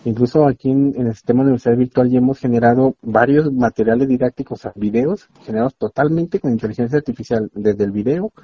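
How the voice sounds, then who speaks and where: Male academic guest, husky and warm-toned, earnest explainer, dull dry lo-fi recording. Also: mid-range voice, fast talker, hardly any pauses, no room sound. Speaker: university expert guest. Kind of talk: explanatory interview talk.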